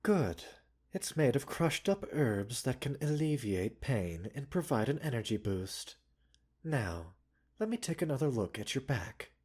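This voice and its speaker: A man playing a gentle, composed pharmacist doctor in an ASMR roleplay, speaking softly and soothingly in polite, formal phrases with mild chiding and teasing. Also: mid-range voice, big pitch swings, unhurried, husky. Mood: soothing, attentive. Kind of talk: ASMR roleplay audio drama.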